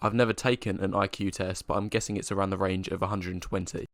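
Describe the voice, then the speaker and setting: A young man earnestly describing himself in a scripted monologue, flat and matter-of-fact. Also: sits low, fast, dry recording, clean studio sound.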